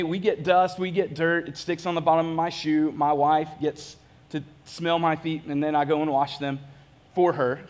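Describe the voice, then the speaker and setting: A man, preaching a casual, joking sermon from a large church stage. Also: fairly high pitch. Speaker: pastor.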